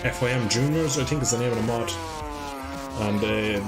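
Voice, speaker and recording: A man's voice: mid-range voice, moderate pace. A man chatting his way through a live game stream, rambling and swearing when he crashes. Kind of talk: live gameplay commentary.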